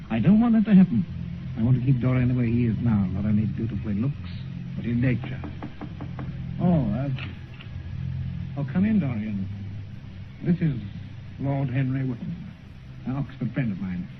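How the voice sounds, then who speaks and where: An earnest, reserved gentleman painter, played by a male actor, speaks in a confiding way at a medium pitch. It is mid-century radio drama, narrow in sound and faintly noisy. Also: animated, normal speed, pauses often, lightly acted. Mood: protective. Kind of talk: 1950s radio play acting. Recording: noisy band-limited 1950s radio recording.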